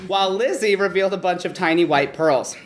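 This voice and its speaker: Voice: medium pitch, a little fast. A performer reading a bawdy comic story aloud in front of an audience. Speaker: story reader. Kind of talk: comedic erotic story reading before an audience. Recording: noisy live room recording.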